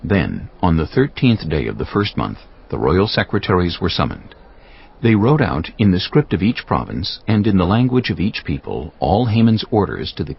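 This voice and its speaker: A man narrating scripture dramatically from a script, with a gravelly voice and a solemn, deliberate manner. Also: deep voice, highs cut off.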